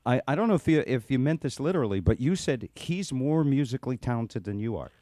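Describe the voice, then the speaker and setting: A man's voice: mid-range voice, animated, quick pace, mellow, no echo, studio quality. An American man hosting a casual radio interview, exclaiming and asking rambling, conversational questions. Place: radio studio.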